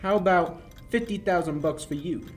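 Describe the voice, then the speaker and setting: A young man playing a smooth-talking crook in a 1940s radio play, breezy and sardonic with menace underneath. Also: high voice, moderate pace, close to an everyday voice. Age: young adult.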